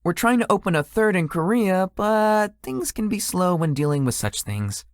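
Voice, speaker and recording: A close, dry recording of a woman playing a shy young businessman in a visual novel. The voice is on the low side and moves freely up and down, with a polite, slightly formal, sleepy homebody temperament. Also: lively intonation, moderate pace, no room sound, close to an everyday voice. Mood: polite, curious. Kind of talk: visual novel character dialogue.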